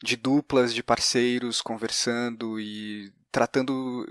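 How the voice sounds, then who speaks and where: A man's voice: medium pitch, little pitch movement, takes it slowly, a bit bright, smooth, no echo. Male podcast host, Brazilian accent, mid-range voice, hesitant phrasing, conversational, dry recording. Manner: hesitant, searching for words. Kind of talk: conversational interview.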